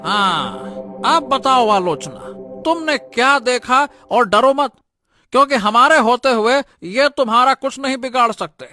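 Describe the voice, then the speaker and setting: Guy doing a bossy royal minister for a cartoon, really high forceful voice, slowish, taunting. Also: expressive, dry recording, soft background music, heavily acted. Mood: commanding. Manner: loud, commanding, taunting. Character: accusing, bossy male royal minister in a village tale. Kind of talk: Hindi cartoon story dialogue.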